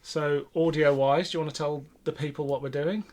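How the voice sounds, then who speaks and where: A man's voice: a little high, steady tone, a little fast, darkish tone. Man hosting a casual podcast discussion. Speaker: podcast host.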